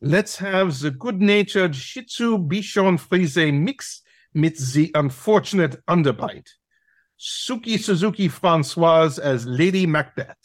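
A man's voice: fairly high pitch, animated, takes it slowly, no room sound, clean studio sound. A man playing a domineering, mocking dog who teaches a masterclass in an audio play, brusque and commanding, sprinkling in German words. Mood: bossy, mocking.

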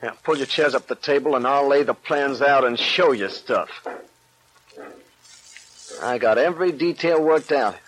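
Man acting a ruthless 1940s radio mobster, boastful, hard bright tone, faintly noisy vintage recording. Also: medium pitch, moderate pace, long pauses, natural voice. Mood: menacing, boastful. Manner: tough-guy slang, boastful. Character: cocky, ruthless gangster boss planning bank robberies.

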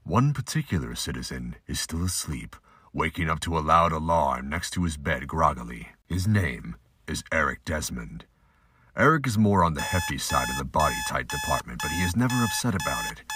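A man narrating a scripted amateur audio drama with a husky voice. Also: a bass voice, noisy background.

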